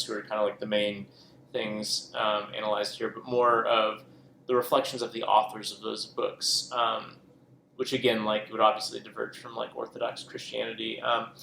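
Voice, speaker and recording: Gravelly, rambling man in an echoey room. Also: fairly low pitch, monotone, normal speed, pushed and bright. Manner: rambling, many ums and self-corrections. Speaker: podcast co-host. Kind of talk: casual book chat. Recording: echoey room with faint noise.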